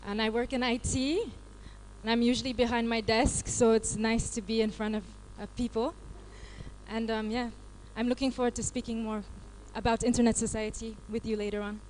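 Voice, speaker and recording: A woman's voice: on the high side, flat delivery, moderate pace, darkish tone, a little hiss or hum, poor audio. A woman from Los Angeles with an American accent, giving a live workshop talk and questioning the audience. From Los Angeles, California. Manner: interactive, asks the audience questions. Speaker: IT support advisor presenting.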